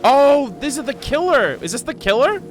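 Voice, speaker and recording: A man's voice: very high-pitched, big pitch swings, moderate pace. A man giving casual live gameplay commentary as a streamer, slangy and sweary as he reacts to the game. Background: game music.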